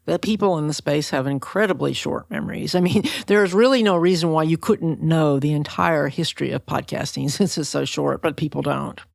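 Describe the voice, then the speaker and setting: A woman's voice: sits low, a little fast, creaky, few pauses, no echo, clean studio sound. A woman talking conversationally as a podcast interviewer.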